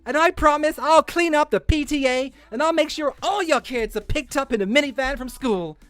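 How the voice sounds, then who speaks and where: Man putting on a high, pompous speechmaker. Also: normal speed, fairly bright tone, no room sound, soft background music. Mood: campaigning. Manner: overblown, politician-like speechifying. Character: pompous, melodramatic crowdfunding appealer pleading for justice. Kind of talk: mock dramatic reading.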